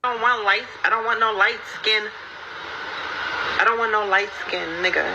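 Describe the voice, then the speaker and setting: A dull, lo-fi recording with clear noise carries a woman's voice at a middling pitch. She rants casually, emphatic and repetitive, as in a social media clip. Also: fairly even intonation, moderate pace. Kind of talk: casual opinionated rant. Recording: muffled, noisy lo-fi clip playback.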